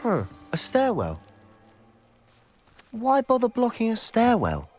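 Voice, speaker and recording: A man's voice: extremely high pitch, huge pitch swings, slow, darkish tone, faint music behind, heavily acted. A young man with a British accent playing a curious, sceptical, determined schoolboy wizard in a video game. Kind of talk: video game dialogue acting.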